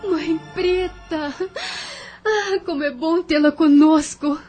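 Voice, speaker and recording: A woman's voice: high voice, takes it slowly, a little mellow, dull audio. A woman playing a devoted young mother in the spirit world, polite and earnest, in a Brazilian audio novel. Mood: joyful. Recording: prominent music behind the voice.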